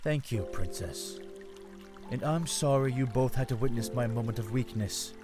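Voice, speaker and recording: A man's voice: mid-range voice, normal speed, natural voice. A man voicing a young, earnest fish-folk prince in an audio drama, speaking formally. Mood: resolved. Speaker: voice actor. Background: music.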